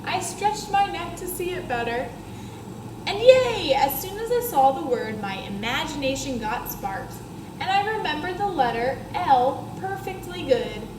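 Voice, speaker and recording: A woman voicing a chatty, dramatic first-grade girl from a storybook, with excitable, emphatic, childlike phrasing. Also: sits high, measured pace, voice pushed up. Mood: excited. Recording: roomy classroom echo, clear background noise.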